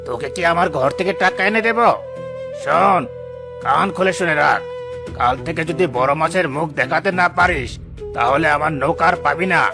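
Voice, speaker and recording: A man voicing a greedy, bullying fish trader in a cartoon, creaky-voiced, with a mocking, domineering manner. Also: on the high side, moderate pace, music in the background. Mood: threatening. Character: greedy, sneering, bullying male fish trader and boat owner. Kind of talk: dramatised cartoon dialogue.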